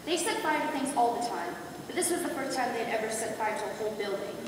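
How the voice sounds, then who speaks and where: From far off in a noisy hall comes a wry young storyteller played by a woman, fairly high in pitch. The delivery is staged readers theater narration. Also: animated, fast, close to an everyday voice. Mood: wry storytelling. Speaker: skit narrator and child character.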